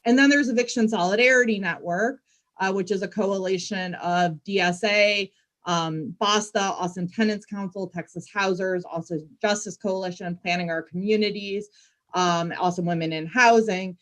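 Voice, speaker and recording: A woman panelist, a community organizer, explaining her work in an earnest, halting way. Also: medium pitch, fairly even intonation, a bit bright.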